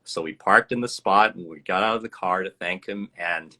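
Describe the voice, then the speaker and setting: A man with a lowish, bright and forceful voice tells a personal story as a guest in a live interview. He speaks reflectively and earnestly and breaks into laughter now and then. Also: moderate pace. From USA.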